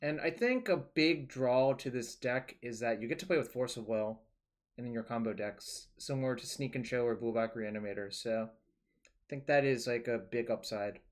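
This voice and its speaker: Young male streamer, relaxed, rambling, explains plays as he goes, mid-pitched voice. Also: normal speed. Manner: relaxed, rambling, thinking aloud. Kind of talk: casual card-game stream commentary.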